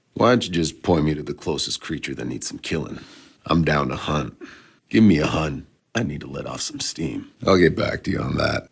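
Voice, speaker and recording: Game mercenary: extremely low, husky male voice, world-weary and sardonic, heard with long reverb. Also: heavily acted. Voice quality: gravelly, husky. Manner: sardonic, gruff, laconic. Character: weary, cynical, foul-mouthed male sci-fi soldier mercenary. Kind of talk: video game voice acting.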